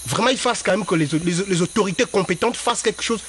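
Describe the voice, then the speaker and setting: A local man speaks outdoors by a river, indignant and insistent. His voice sits high. A faint hiss runs under the recording. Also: fast talker, fairly bright tone. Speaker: local resident. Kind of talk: on-street interview.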